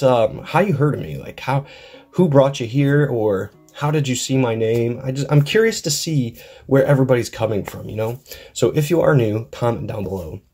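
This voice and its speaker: A man's voice: on the low side, mellow. A young man with a head cold hosting a casual card pack-opening vlog, rambling and upbeat with lots of ums, recorded on a desk camera indoors. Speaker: card collecting channel host.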